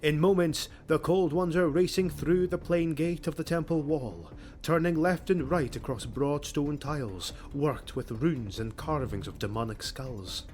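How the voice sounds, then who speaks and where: Man narrating dark fantasy over music. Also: a little high, measured pace, hardly any pauses. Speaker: audiobook narrator. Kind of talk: dramatic scripted fantasy narration. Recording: music bed under the voice. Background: background music.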